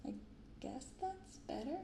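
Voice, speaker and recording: A woman speaking softly and gently in an unhurried, casual ASMR style as she hosts a video. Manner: soft, gentle, unhurried delivery. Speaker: host of a card-opening ASMR channel. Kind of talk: soft-spoken ASMR, casual commentary. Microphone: binaural microphone. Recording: roomy echo, clearly audible background noise.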